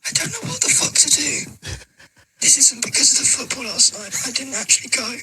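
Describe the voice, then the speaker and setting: A radio presenter who has lost his voice leaves a hoarse, squeaky voice message, apologetic and explaining himself. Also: big pitch swings, a little fast, pushed and bright. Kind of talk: recorded voice message. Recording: noisy phone voice message.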